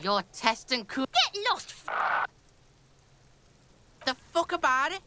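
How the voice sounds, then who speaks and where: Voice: high-pitched, big pitch swings, takes it slowly, heavy background noise, heavily acted. An actor voicing a foul-mouthed, aggressive street kid in a video game, brash, taunting and full of scorn. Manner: brash, swearing, taunting, speaks of self in third person. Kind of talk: video game character dialogue.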